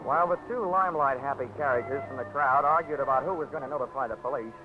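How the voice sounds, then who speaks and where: A man's voice: medium pitch, fast, close to an everyday voice. A wisecracking insurance investigator telling his case in a dry voice, as an actor plays him. It plays as a dull-sounding 1940s radio broadcast with music under the talk. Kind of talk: 1940s radio drama narration.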